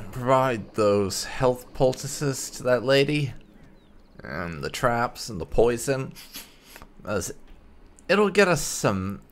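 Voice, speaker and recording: A man's voice: mid-range voice, a bit bright. A man hosting a gaming channel, giving casual, rambling let's play commentary as he thinks aloud. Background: faint game music.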